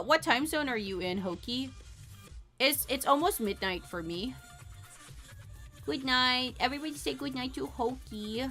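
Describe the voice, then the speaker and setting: Bubbly, rambling streamer woman with a bright voice. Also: high voice. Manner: rambling and bubbly, repeats herself, frequent giggles. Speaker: livestreamer. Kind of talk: casual chat with stream viewers while drawing.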